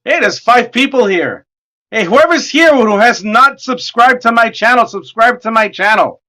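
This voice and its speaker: A man with a hoarse voice, chatting casually and joking as the host of a livestream while he draws, excitable and swearing freely. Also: very high-pitched, moderate pace. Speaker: artist hosting a livestream. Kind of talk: casual chat while drawing.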